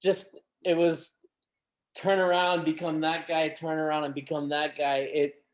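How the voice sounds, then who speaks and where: Halting man in casual conversation. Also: a little high, flat delivery. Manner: halting, repeats himself. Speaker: voice actor in an audio drama. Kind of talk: casual chat.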